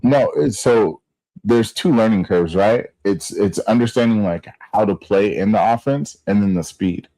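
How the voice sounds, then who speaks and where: A man's voice: lowish voice, a little slow, warm tone, no echo, low-quality recording. A man co-hosting a podcast, explaining basketball in a laid-back way, dropping bro and man. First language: Spanish. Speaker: podcast co-host. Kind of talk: casual sports chat.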